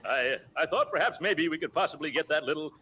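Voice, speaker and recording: A man's voice: a little high, expressive, fast, highs cut off, close to an everyday voice. Man acting a pompous, blustering radio comedy fellow. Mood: flustered wheedling. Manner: nervous chuckles and flustered hesitations. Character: pompous, blustering middle-aged man, wheedling and easily exasperated. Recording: noisy old radio comedy broadcast.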